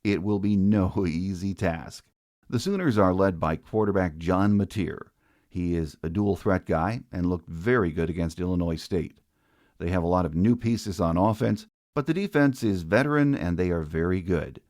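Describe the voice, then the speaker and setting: A man's voice: deep voice, normal speed, darkish tone, no room sound, clean studio sound. A man hosting a sports podcast, delivering scripted narration. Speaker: podcast host.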